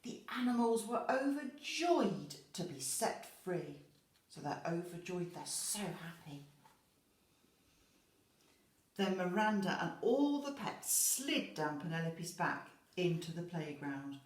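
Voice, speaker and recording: A woman teacher reading a children's storybook expressively, pausing to explain words simply to young listeners. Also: lowish voice, very animated. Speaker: infant school teacher. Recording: echoey room.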